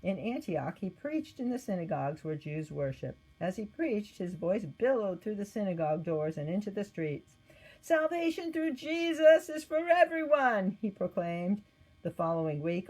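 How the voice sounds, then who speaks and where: A woman reading a scripted story aloud as narrator, with expressive delivery. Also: on the low side, normal speed, slightly rough, poor audio. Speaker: storybook reader.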